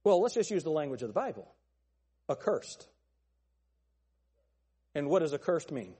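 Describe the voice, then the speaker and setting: A male pastor preaching a fiery sermon with a thin, nasal voice, pressing his points with forceful, repeated phrases. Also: on the high side, big pitch swings, moderate pace, breathy edge, in a large hall. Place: church sanctuary.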